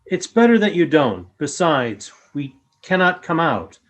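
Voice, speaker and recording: Man acting a calm, higher-pitched alien creature. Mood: calm, guarded. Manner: formal, measured. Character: calm, grave alien creature speaking of its peaceful species. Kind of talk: fan radio play acting.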